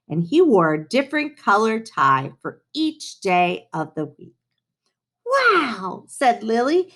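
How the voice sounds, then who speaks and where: A woman reading a children's storybook aloud in an expressive way, with dramatic pauses and playful touches. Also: fairly high pitch. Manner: animated, unhurried, dramatic pauses, playful asides.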